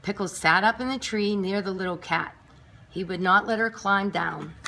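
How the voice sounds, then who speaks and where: A woman reading a picture book aloud to nursery school children, expressive and emphatic, with chatty asides. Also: mid-range voice, moderate pace. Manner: emphatic, clearly paced for young children.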